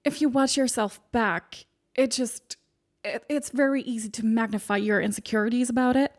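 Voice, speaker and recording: A woman's voice: on the high side, fairly even intonation, moderate pace. A woman hosting her own podcast as a speaking coach, with a soothing voice, talking listeners through numbered tips in an encouraging tone. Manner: warm, encouraging, lists points by number. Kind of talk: solo podcast advice monologue. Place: home studio in the UK.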